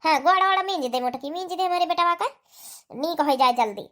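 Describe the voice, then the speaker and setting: A woman's voice: very high voice, fast talker, a bit bright. Doting older Awadhi mother-in-law played by a woman. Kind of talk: Awadhi comedy cartoon dialogue.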